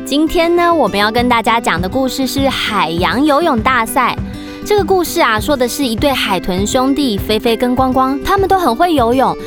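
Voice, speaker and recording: A woman host giving a scripted, storyteller-style introduction for a children's audio story. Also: on the high side. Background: children's music.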